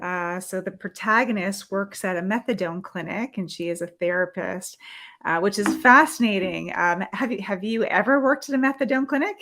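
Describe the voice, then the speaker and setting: Interviewer: woman, mid-pitched, friendly, keeps the guest talking. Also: moderate pace, pushed and bright. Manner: friendly, short encouraging back-channels. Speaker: publisher and interviewer. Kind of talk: interview hosting.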